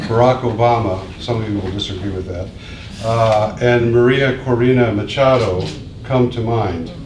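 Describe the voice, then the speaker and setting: Slow low guy from the midwest reading his speech, echoey room, far from the mic. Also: slight background noise. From American Midwest. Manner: measured, reads from prepared remarks with pauses. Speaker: invited speaker. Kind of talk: prepared speech at a public event.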